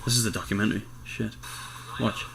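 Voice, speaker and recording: A man's voice: fairly low pitch, fairly even intonation, fairly quick, vocal fry, a crowd in the background, rough recording. A man chatting informally as co-host of a podcast. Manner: informal, conversational. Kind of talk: casual chat.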